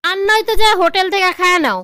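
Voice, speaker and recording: A woman acts out a domineering young cartoon wife with a Bangladeshi accent and an extremely high, bright voice. She nags and commands at a quick clip, grasping for money, in a dry close recording. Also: animated, no echo, full character voice. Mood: bossy, scolding. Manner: commanding, nagging. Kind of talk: comedy cartoon dialogue.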